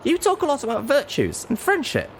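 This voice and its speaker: Man voicing a high-pitched earnest young pony heroine. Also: huge pitch swings, brisk, slight background noise, heavily acted. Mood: indignant.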